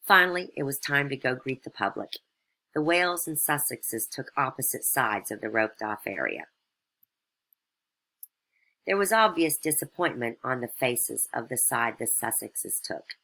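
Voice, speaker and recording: Casting: female storyteller for satirical fairytale narration, extremely low pitch, bright forceful tone. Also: sing-song, normal speed.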